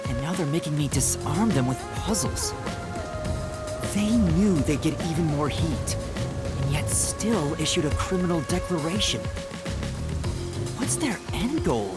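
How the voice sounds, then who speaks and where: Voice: mid-range voice, animated, measured pace, long pauses, over loud music, natural voice. An actor playing an earnest, anxious young male detective in a video game, reasoning things out aloud. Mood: troubled.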